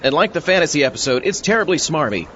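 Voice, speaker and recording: A man's voice: a little high, animated, fast talker, a bit bright. A man playing a doubtful sidekick who doubles as podcast host in a comedy audio drama. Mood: wry announcing. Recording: muffled, with background hum and noise.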